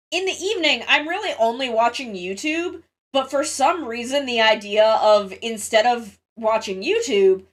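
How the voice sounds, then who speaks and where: A woman's voice: a little high, fairly bright tone. A woman with a congested, sniffly nose chats casually to livestream viewers, rambling, interrupting herself and swearing freely.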